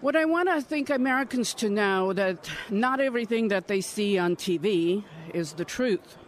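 A woman in her fifties from Ukraine, giving earnest, measured interview answers at a political conference. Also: medium pitch, animated. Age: 50s. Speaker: congressional candidate.

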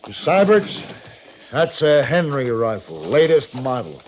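An actor playing a stern, clipped, commanding frontier cavalry captain in a 1950s radio western. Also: male voice, mid-range voice, very animated, a little slow. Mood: grave, controlled. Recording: muffled 1950s radio recording, faint noise.

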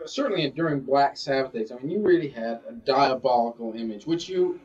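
A man's voice: mid-range voice, lively intonation, a little fast, darkish tone, breathy edge. A man, asking casual questions as an interviewer on an old tape. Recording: muffled old tape, far from microphone.

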